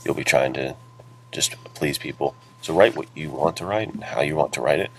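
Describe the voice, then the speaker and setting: Male podcast co-host, extremely deep pitch, gravelly, casual, plain-spoken, faint background hum. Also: a little fast, rough recording.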